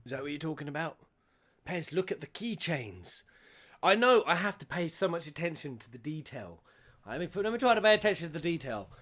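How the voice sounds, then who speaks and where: Excitable man reacting, animated higher-pitched voice. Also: a little fast. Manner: excitable, thinking aloud in questions. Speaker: host reacting to video game cutscenes. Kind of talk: casual live reaction commentary.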